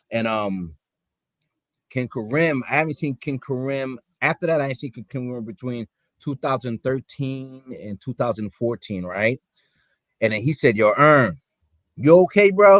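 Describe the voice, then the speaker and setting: A man hosting a podcast, telling a story in relaxed casual slang among friends. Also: mid-range voice, no room sound. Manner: laid-back slangy storytelling. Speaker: podcast host. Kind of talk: casual chat.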